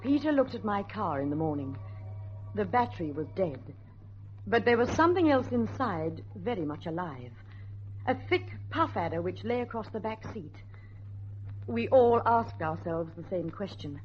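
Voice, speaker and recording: A woman's voice: mid-range voice, expressive, normal speed, soft background music, natural voice. A woman playing a married woman caught up in a dangerous ordeal in a radio drama, narrating and acting it out. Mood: measured storytelling. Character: urgent, weary married woman narrating her flood ordeal. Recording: dull, noisy old radio recording.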